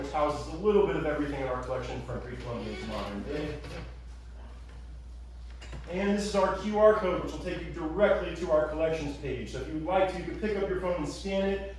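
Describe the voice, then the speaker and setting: Fast, chatty man in an echoey hall. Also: a little high. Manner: energetic, fast, chatty with audience. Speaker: museum staff presenter. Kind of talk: public talk with slides. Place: meeting room with audience. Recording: echoey room, far from mic.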